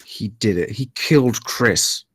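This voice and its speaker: An actor at a screenplay table read playing a tense, accusing and skeptical thriller character in an urgent, blunt way. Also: male voice, on the low side, measured pace, fairly bright tone, husky, dry recording, clean studio sound.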